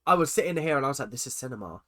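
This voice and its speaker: Bright, nasal man with a cold. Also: medium pitch, rapid-fire. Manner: excitable, chatty, swings between loud exclamations and muttered asides. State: stuffy-nosed from a cold. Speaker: reaction video host. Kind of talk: casual reaction commentary.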